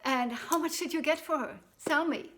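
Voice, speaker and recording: A woman's voice: high voice, moderate pace, pushed and bright, pitched above the performer's own voice. A woman voicing an emotional, scolding mother in a fairy tale, with gasps and dramatic exclamations. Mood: anxious, eager.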